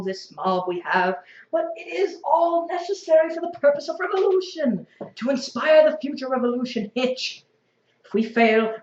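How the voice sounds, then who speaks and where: A woman's voice: sits high, reverberant, highs cut off. A woman playing a grandiose, effusively polite but unrepentant revolutionary zealot in an audio drama, speaking in formal, stilted phrases. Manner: formal, stilted, refers to self as "one".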